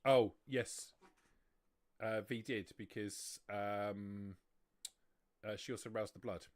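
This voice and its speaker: A man's voice: fairly low pitch, steady tone, slow, dry recording. Man game master with a dry, close sound. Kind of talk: running a tabletop roleplaying livestream, casual rules talk.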